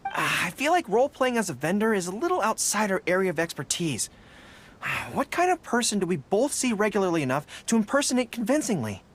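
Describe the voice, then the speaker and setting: A woman voicing a youthful, earnest and modest dog-eared general in a video game, expressive and a little hesitant, with music behind. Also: medium pitch, lightly acted. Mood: wistful, earnest. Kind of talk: scripted game dialogue. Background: background music.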